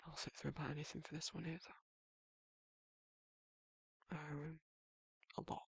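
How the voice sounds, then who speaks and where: Husky, gravelly protective werewolf boyfriend played by a man. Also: on the high side, monotone, a little slow, no echo, full character voice. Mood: teasing, affectionate. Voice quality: husky, breathy, gravelly, often dropping to a whisper. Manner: casual, colloquial, soft-spoken intimate murmur with soft chuckles. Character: protective, teasing, affectionate male werewolf boyfriend, down-to-earth. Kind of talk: intimate ASMR boyfriend roleplay.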